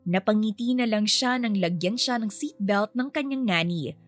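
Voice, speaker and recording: A woman's voice: medium pitch, normal speed. A woman storyteller reading scripted fiction narration. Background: music.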